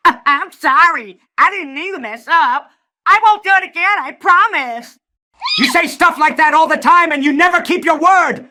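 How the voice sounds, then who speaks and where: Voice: extremely high pitch, unhurried, bright, forceful tone, shouting, heavily acted. An actor voicing a furious, scornful mad scientist in a cartoon comic dub, ranting with sneering sarcasm. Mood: mocking.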